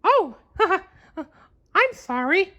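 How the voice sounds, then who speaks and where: A man voicing a cheerful, plucky little cartoon mouse in a squeaky, giggly falsetto for a storybook. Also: very animated, extremely slow, bright, forceful tone, exaggerated voice. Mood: apologetic, nervous. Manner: giggly, bubbly.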